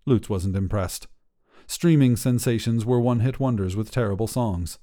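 A man reading short fiction as a podcast narrator, in a measured, careful way. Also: on the low side, warm tone.

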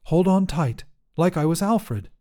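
A man voicing a gentle astronaut mother in a short story, patiently reassuring her small daughter. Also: a little high, animated, unhurried, pitched above the performer's own voice. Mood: instructing gently. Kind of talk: storybook character voice in prose narration.

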